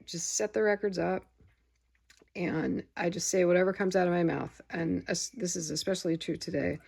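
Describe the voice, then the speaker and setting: A tired woman with a gravelly voice, rambling casually about her record haul in a home vlog. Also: fairly low pitch, steady tone, moderate pace, darkish tone. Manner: rambling, many fillers and restarts. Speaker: vinyl record vlogger. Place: home room with window open. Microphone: phone camera.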